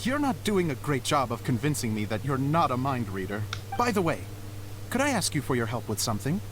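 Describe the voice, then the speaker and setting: A man's voice: medium pitch, big pitch swings, brisk, slight background noise, natural voice. Man voicing an eager dreamy stage director. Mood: earnest. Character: earnest adult male theater director, dreamy. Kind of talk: game voice acting. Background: game music.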